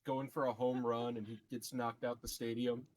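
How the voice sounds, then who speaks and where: A man's voice: medium pitch, little pitch movement, moderate pace, a little mellow. A man playing a tabletop roleplaying game, talking casually and jokingly about his moves. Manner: jokey, playful.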